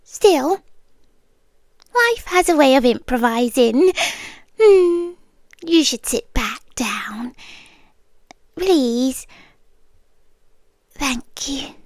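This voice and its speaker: A young British woman voicing an obsessive, doting girlfriend in an ASMR roleplay, speaking softly and sliding into close whispers. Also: high voice, expressive, very slow, pauses often, no room sound, full character voice. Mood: calm doting. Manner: soft-spoken, drifting into whispers, gently unsettling.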